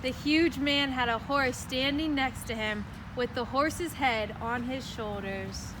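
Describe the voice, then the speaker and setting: A woman's voice: high voice, takes it slowly. A woman narrating an amateur readers' theater story, reading the scripted text aloud. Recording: noisy outdoor recording with background sounds.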